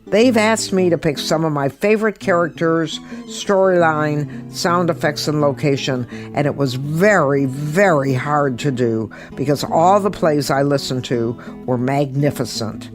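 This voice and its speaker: A woman's voice: low voice, a little slow, hardly any pauses, over music. A woman hosting a podcast, presenting and commenting in an emphatic way, often repeating words for stress. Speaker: guest podcast host.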